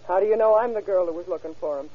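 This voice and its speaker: An actress playing a guarded, wisecracking young tough dame, curt and sardonic, in 1940s radio crime drama style. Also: female voice, on the low side, very fast, husky. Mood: wary, sardonic. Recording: dull old radio drama recording.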